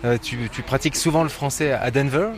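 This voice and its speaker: A quick-talking man works as an interviewer. He keeps things conversational, prompting with questions and informal remarks in a mid-pitched voice. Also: slight background noise.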